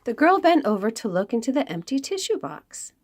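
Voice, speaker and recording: A woman reading aloud to young children as a storytime host, expressive, with dramatic emphasis and pauses. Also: a little high, a little hiss or hum.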